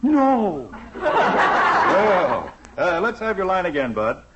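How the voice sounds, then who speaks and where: A man's voice: sits high, huge pitch swings, very slow, very noisy, full character voice. An actor playing an exasperated, hammy middle-aged suburban father with an American accent in 1950s radio sitcom style. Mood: hammy, amused. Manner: theatrical, emphatic. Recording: muffled vintage radio recording.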